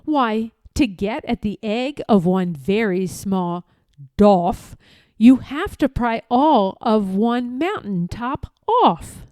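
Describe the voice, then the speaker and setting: Woman, children's storybook read-aloud, unhurried pace, slightly high voice, playful, emphatic rhyming delivery. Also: animated, darkish tone, no room sound, studio quality.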